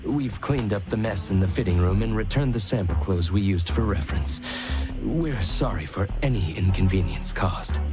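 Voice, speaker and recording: A man voicing a courteous, gentlemanly companion in a video game, with formal, polite and measured phrasing. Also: sits low, lively intonation, over music. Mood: polite, composed.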